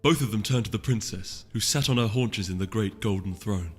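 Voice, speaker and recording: A man's voice: on the low side, a little fast, breathy edge, long reverb tail, faint music behind. A man reading a story aloud as a scripted, dramatic narration. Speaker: audiobook reader.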